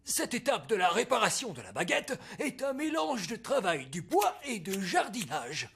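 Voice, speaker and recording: A man voicing a wise old elf who runs a workshop in a French cartoon dub, deliberate and authoritative. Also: high voice, wildly swooping pitch, vocal fry, a bit bright, slightly rough, full character voice. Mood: explaining. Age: older.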